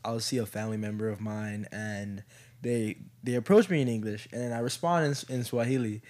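Young Kenyan man, very deep voice. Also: a little fast, darkish tone. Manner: supportive, frequent short affirmations. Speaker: podcast co-host. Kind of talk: casual conversational chat.

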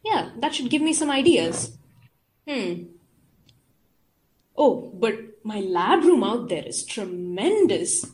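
An actress playing an absent-minded woman scientist who ponders aloud to herself in halting phrases, in an audio drama. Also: mid-range voice, very animated, a little mellow. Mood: musing.

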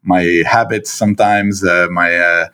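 A man with a Lebanese accent and a very low, deep voice, speaking as an interview guest. He talks haltingly, with frequent fillers and restarted phrases. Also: steady tone, bright, forceful tone, clean tone. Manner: hesitant, many fillers and restarts. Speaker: guest, stepfather. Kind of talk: conversational interview.

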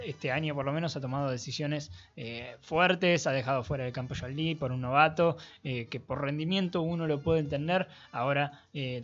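Argentine male co-host, mid voice, halting reflective delivery; lo-fi audio, faint music bed. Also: medium pitch. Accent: Argentine Spanish. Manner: thinks aloud with fillers and restarts. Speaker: podcast co-host. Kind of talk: casual sports opinion chat.

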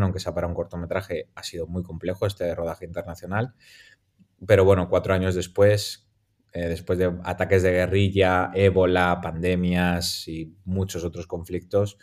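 Man, Madrid Spanish accent, very deep voice, interview guest, hesitant, lots of fillers. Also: a little mellow. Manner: hesitant, many fillers and restarts. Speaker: documentary filmmaker guest. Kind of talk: casual interview conversation.

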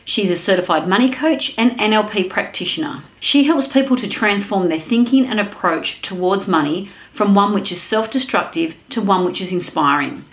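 A woman's voice: mid-range voice, roomy sound. A middle-aged woman hosting a podcast, introducing a guest and asking her questions. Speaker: podcast host and interviewer.

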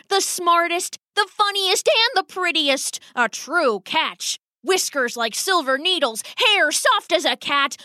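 A woman's voice: high voice, sharp tone, dry recording, studio quality, pitched above the performer's own voice, full character voice. A woman voicing a stubborn, doting old father rat with theatrical, emphatic bluster in a children's story. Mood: doting, grandiose.

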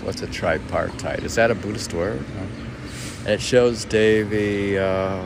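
A man reading his own poems with casual, rambling commentary, halting and musing as he puts questions to himself. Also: deep voice, creaky. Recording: noisy outdoor recording with birds. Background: birdsong, ambient noise.

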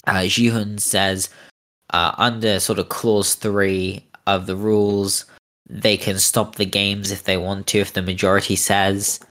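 A man's voice: low-pitched, level tone, measured pace, a bit bright. A man co-hosting a podcast, recapping a TV series in a casual, hesitant way with many restarts. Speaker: podcast co-host.

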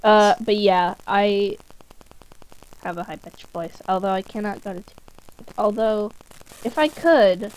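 A woman's voice: medium pitch, unhurried, long pauses, darkish tone, low-quality recording. A woman from the United States in a casual voice chat, goofy and playful, making up silly exaggerations. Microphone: home mic over voice chat.

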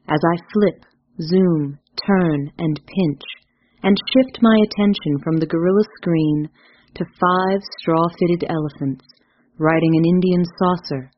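A woman's voice: deep voice, no echo. Woman reciting poetry, dry close sound. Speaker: poetry reader. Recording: dry, slightly dull lo-fi recording.

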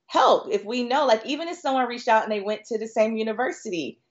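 A woman's voice: fairly high pitch, fast, lo-fi sound. An American woman answering conversationally as an expert guest on a podcast, chatty and inclined to act out short quotes. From Florida, United States.

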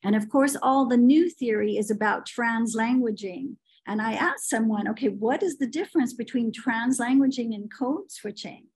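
Middle-aged woman guest, slightly high pitch, forthright and chatty with fillers. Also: moderate pace, hardly any pauses, darkish tone, breathy edge. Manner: opinionated, storytelling, frequent fillers. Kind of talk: podcast conversation.

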